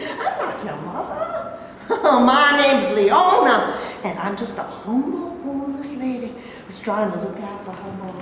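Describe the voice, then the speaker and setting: An actress playing a suspicious, domineering, street-wise homeless mother in a staged reading, with a folksy, scolding, sarcastic drawl. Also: a little high. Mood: wry, softening. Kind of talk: theatrical staged play reading. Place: live staged reading in a hall. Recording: echoey hall, far mic, noisy.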